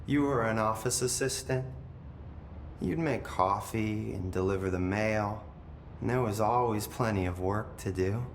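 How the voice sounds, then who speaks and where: An actor playing a possessed, eerily calm brother in a video game, telling things in a detached, dreamy and menacing way. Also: male voice. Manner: slow, detached, deliberate storytelling with lingering pauses. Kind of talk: dramatic video game acting. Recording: echoey room, noticeable low rumbling noise.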